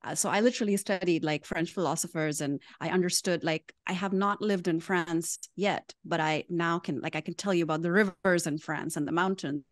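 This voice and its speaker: A woman from Pakistan chats as a podcast guest, explaining with good humor. Her voice sits a touch low and is recorded dry and close. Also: fairly even intonation, a little fast, few pauses, no echo. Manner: amused, laughing often while explaining. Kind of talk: casual interview chat.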